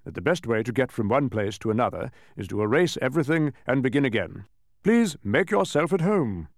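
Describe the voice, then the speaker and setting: A man voicing a brisk, impatient, pompous mathematician who lectures emphatically in a storybook reading. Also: medium pitch, very animated, no echo, studio quality, voice pushed up. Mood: casual, superior.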